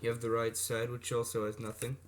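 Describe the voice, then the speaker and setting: A man with a mid-pitched voice talks casually, like a hobbyist presenting gear on a homemade video. He rambles off the cuff, adding asides and correcting himself as he goes. The amateur recording has clear background noise and clicks. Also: monotone, a little fast. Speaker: hobbyist video presenter showing vintage electronics. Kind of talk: casual unscripted product demonstration.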